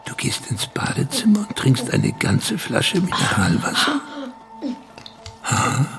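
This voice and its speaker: Slow, gravelly, teasing old grandfather. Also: mid-range voice, wildly swooping pitch, heavily acted. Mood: teasing, insistent. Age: older. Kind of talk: radio drama acting. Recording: music behind.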